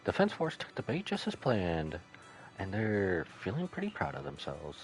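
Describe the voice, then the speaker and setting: Man playing female cult agent, game dialogue, scheming, reverent, lowish pitch, animated intonation. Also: moderate pace, soft background music, low-quality recording. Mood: calculating.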